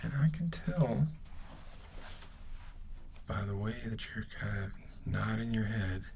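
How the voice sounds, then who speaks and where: Smug, menacing gang leader acted by a man, low creaky murmur, mock-kindly, close-mic ASMR roleplay. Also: lively intonation, a little mellow, a little hiss or hum, full character voice. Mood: smug menace. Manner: slow, halting, intimate delivery with mock-kindly menace. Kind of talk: ASMR roleplay, soft speaking and whispering. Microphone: close ear-to-ear ASMR microphone.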